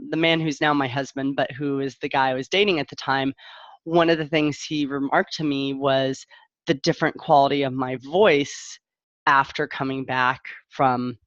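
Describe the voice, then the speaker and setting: A woman's voice: a bass voice, dry recording. Reflective middle-aged woman, dull muffled recording. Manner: reflective, candid, halting with self-interruptions. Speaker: parent coach. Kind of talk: casual live-streamed talk to viewers.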